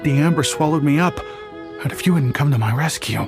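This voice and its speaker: A man's voice: a little high, brisk, lightly acted. A man voicing a sheepish, repentant poor treasure hunter in a video game, speaking earnestly. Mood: contrite. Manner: contrite, earnest. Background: game music.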